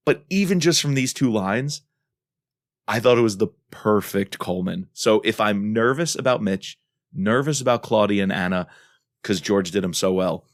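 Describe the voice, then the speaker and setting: A man's voice: on the low side, animated, normal speed, dry recording, clean studio sound. A man co-hosting a podcast in a casual, enthusiastic and emphatic discussion style. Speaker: podcast co-host.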